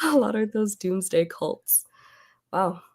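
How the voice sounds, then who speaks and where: A woman hosting a casual book club chat over a video call, losing her voice as she gets over an illness, chatty and quick to laugh. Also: mid-range voice, lively intonation, a little mellow. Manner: chatty, laughs often, trails off.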